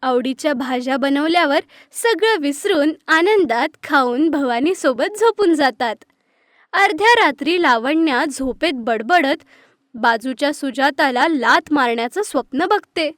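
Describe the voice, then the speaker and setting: A woman's voice: high-pitched, expressive, measured pace, a bit bright, no room sound, studio quality. A woman narrating a scripted children's story.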